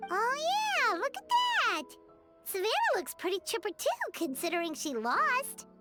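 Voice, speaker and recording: A woman voicing a tiny, childlike floating companion in an animated game, bubbly, nosy, cutesy and exclamatory. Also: very high-pitched, normal speed, over loud music, heavily acted. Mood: excited. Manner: cutesy, exclamatory, speaks of self in third person.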